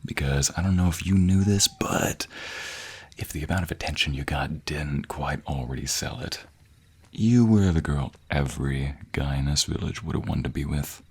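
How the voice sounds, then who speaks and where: A man's voice: a bass voice, creaky, husky, heavily acted. A young man playing a devoted, teasing boyfriend in a romantic audio roleplay, murmuring affectionately close to the microphone. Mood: adoring. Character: playful, devoted young boyfriend, a villager with a secret. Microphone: close binaural microphone.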